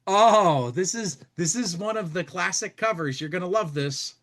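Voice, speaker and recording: A man hosting a podcast in casual chat, rambling and halting, often restarting his phrases. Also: high voice, expressive, a bit bright. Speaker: podcast host.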